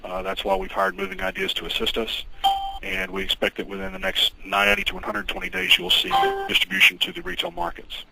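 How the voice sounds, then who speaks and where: A low male voice with measured, formal phrasing, fielding investor questions as the company's executive. The delivery is guarded and businesslike. It comes from a conference call recording with clear background noise. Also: big pitch swings.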